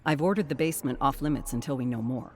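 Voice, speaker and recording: An actress playing a composed expedition leader, reading a game journal in a measured, formal, matter-of-fact way. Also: female voice, rumbling low. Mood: composed, reflective. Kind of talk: scripted dramatic journal narration. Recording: music behind the voice. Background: music.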